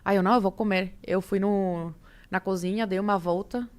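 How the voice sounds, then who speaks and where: A woman's voice: mid-range voice, fairly even intonation, normal speed. A woman in her twenties from Cuba, a native Spanish speaker with a Cuban accent, telling stories casually and acting out bits of dialogue. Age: 20s. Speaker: podcast guest. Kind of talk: casual conversational storytelling.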